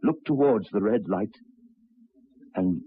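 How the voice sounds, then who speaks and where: A troubled railway signalman in a radio play. The voice sits low and is heavily husky, with grave, deliberate, halting phrasing. The recording is dull and lo-fi, like an old broadcast. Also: expressive, no room sound, full character voice. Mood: haunted, grave. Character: haunted, troubled railway signalman telling of ghosts. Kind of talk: old radio drama acting.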